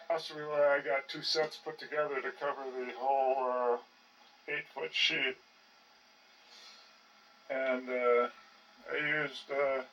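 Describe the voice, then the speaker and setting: Older guy puttering with his hobby stuff, kinda high bright voice, mumbles to himself, sounds away from the mic. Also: lo-fi sound. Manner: halting, muttering to himself. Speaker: hobbyist narrating his own projects. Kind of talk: casual rambling commentary while tinkering. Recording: distant mic with faint background noise.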